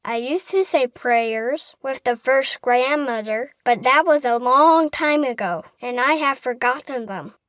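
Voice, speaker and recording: A woman's voice: high voice, unhurried, few pauses, a bit bright, no room sound, clean studio sound. A woman playing an innocent little orphan girl in an audiobook drama, with a simple, earnest, childlike manner. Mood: troubled, downcast. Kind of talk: full-cast audiobook acting.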